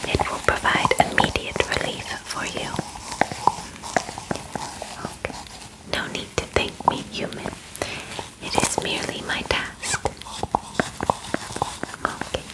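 A young woman voicing a calm, clinical alien examiner in a whispered ASMR roleplay, formal and soothing, close to the microphone. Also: natural voice, poor audio. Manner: formal, soothing, deliberate, softly reassuring. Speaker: ASMR performer. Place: small bedroom, indoors.

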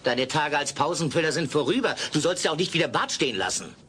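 An actor playing a fed-up, grouchy carnival husband in an audio play, with a weary manner. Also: male voice, fairly high pitch, expressive, fast talker, fairly bright tone, breathy edge. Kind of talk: German audio play acting. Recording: slightly noisy old cassette.

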